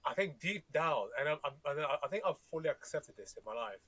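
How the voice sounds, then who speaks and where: A man with a bright, forward voice chats casually on a podcast. His first language is Tagalog, and he rambles, restarts his sentences and swears freely. The sound is dry and close to the mic, with a slightly high pitch. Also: expressive, fast, dry recording. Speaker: podcast co-host. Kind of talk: casual chat.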